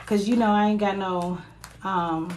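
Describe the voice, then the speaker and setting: A woman with a raspy voice giving a casual live-stream tarot reading into her phone, chatting and reading comments between cards. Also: medium pitch, fairly even intonation, measured pace, darkish tone, slight background noise. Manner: chatty, reads viewer comments aloud, laughs often. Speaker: tarot reader.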